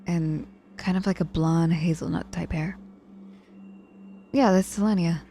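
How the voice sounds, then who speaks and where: A woman's voice: on the low side, steady tone, unhurried, warm tone, slight background noise. A woman playing a sardonic but caring young witch in an intimate ASMR audio roleplay, speaking casually and teasingly with feigned reluctance. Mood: wry, chatty.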